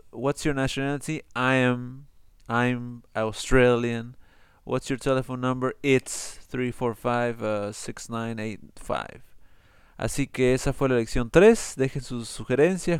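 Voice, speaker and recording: A man's voice: mid-range voice, fairly even intonation, clear, resonant tone, dry recording, studio quality. Male bilingual English teacher, patient, uses fillers, clean resonant tone. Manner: switches between Spanish and English, explains slowly with fillers. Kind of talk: bilingual online English lesson.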